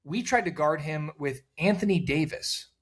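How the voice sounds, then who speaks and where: A man co-hosting a casual sports talk show, rambling and repeating words, with a loud voice that cuts through noise. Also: on the high side, normal speed, slightly rough. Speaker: podcast co-host.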